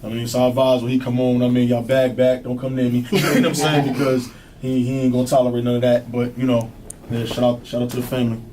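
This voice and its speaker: A young rapper from New with a strong, deep voice talks fast in loose street slang. He sits in a radio studio. The mic is some distance away and picks up a faint hiss. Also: flat delivery. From New Jersey. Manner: laid-back street slang, keeps saying "you know what I'm saying". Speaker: rapper guest. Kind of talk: casual interview chat.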